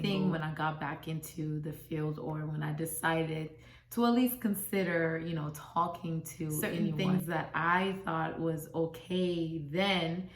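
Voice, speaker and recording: A woman born in Congo and raised in America, giving motivational life advice in an emphatic, preachy way, recorded on a phone. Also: sits low. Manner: emphatic, preachy, stacks rhetorical questions. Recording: echoey room.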